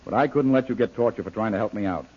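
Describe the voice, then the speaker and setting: An actor playing a resolute, principled adventurer hero in an old-time radio serial. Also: male voice, lowish voice, very fast, darkish tone, breathy edge, dull audio. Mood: grim, wry. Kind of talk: 1930s radio serial acting.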